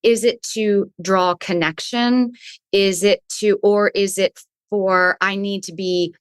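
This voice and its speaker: A woman's voice: medium pitch, fairly even intonation, slow pace, clean tone, dry recording, clean studio sound. A woman speaking as a guest in an interview, a resilience coach who explains her points thoughtfully and often restarts her phrases. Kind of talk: conversational interview answers.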